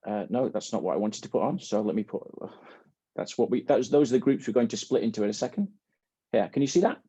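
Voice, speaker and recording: A man's voice: on the low side, fast, dark tone, husky, no echo. A man hosting a student symposium on a video call, informal and a little hesitant, often rephrasing as they go. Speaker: host and moderator of a student symposium. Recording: dull, dry video-call audio.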